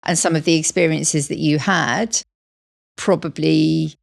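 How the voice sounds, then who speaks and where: A woman co-hosting a podcast, responding to her guest in a measured, reassuring way. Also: deep voice, fairly even intonation, vocal fry. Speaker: podcast co-host. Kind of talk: conversational interview.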